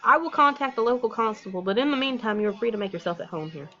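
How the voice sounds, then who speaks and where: A woman's voice: fairly high pitch, lively intonation, quick pace, bright, forceful tone, highs cut off, faint music behind. A woman voicing a kindly male priest in a visual novel, speaking in a formal, reassuring way. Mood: calm, reassuring.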